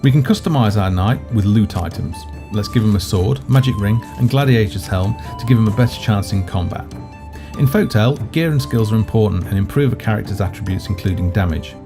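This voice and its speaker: A man presenting a video game in scripted walkthrough narration, measured and explanatory. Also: on the low side. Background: background music.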